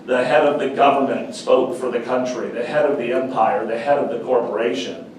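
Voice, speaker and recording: A middle-aged man giving an earnest, measured talk as a panel speaker, repeating phrases for emphasis. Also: medium pitch, steady tone, slight background noise. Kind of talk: reflective public talk. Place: university meeting room. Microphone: far camera. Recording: echoey room from far off.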